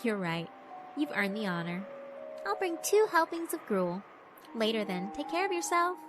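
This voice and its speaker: A woman's voice: on the high side, big pitch swings, normal speed, over music, voice pushed up. A woman voicing a mischievous, predatory girl spirit in a horror visual novel, teasing and politely condescending. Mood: playful taunting. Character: mischievous, predatory yokai schoolgirl, doll-like, elegant.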